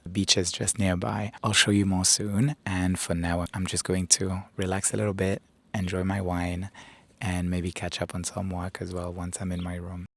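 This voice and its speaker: Man in his thirties, low flat voice, a bit hoarse, upbeat chatty vlog, handheld camera outdoors. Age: 30s. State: hoarse, recovering voice. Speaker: travel vlogger. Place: outdoors on a rooftop terrace. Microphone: small handheld camera at arm's length.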